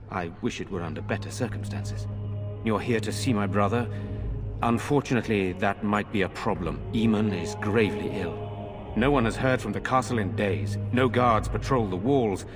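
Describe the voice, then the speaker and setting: An actor playing a middle-aged noble lord in a game, speaking in a measured, formal and earnest way. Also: male voice, on the low side, close to an everyday voice. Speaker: village lord. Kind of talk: game voice acting.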